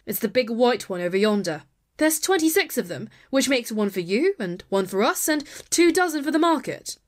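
An actress playing a brisk, slightly huffy sister who keeps geese, in a dramatic audiobook reading. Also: female voice, on the high side, sing-song.

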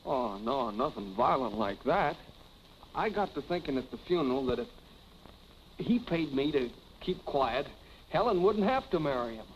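An actor playing a lovesick, slow-witted young prizefighter with slangy tough-guy talk in a 1940s film. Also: a little high, very animated, gravelly, dull audio. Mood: defensive. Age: young adult. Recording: noisy vintage film soundtrack.

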